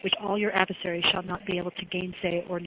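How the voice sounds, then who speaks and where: A muffled phone line with plenty of noise carries a woman's even, mid-pitched voice. She speaks earnestly and devotionally, moving between chat and verses read aloud as testimony. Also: quick pace. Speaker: jail inmate making a phone call. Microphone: telephone handset. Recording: noisy, muffled recorded jail phone call.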